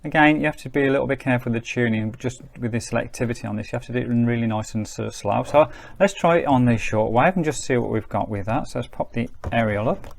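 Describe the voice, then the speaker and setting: Creaky-voiced man reviewing a gadget. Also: medium pitch, fast, hardly any pauses. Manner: chatty, understated, thinking aloud while working. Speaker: product reviewer. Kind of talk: casual hands-on gadget review.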